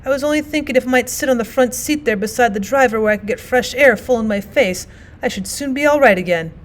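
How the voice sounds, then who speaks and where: A storybook toad in disguise as a poor washerwoman, voiced by a woman. The voice is on the high side, meek and feeble, politely wheedling with false humility. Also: fast talker, close to an everyday voice. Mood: feebly grateful. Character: sly male toad posing as a meek washerwoman.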